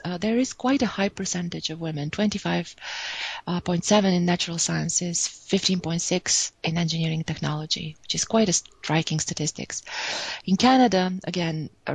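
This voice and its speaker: A woman's voice: on the low side, creaky, slightly rough, no room sound. A woman from Bulgaria, giving a remote conference talk on statistics, hesitant and self-correcting with many fillers. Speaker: academic speaker.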